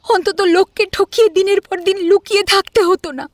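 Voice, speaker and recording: A woman's voice: very high voice, fairly quick, no room sound, pitched above the performer's own voice. A woman voicing a hard-done-by, honest wife in an audio drama, cutting and bitterly reproachful. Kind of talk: Bengali audio drama acting.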